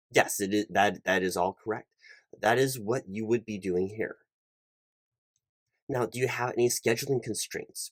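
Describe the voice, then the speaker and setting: Corporate recruiter, played by a man, lowish voice, over-careful, repetitive and a bit flustered, very dry recording, ASMR interview roleplay. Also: dry recording, lightly acted. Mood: patient, checking. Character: polite, fussy, slightly flustered male corporate hiring manager. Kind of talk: one-sided ASMR job interview roleplay.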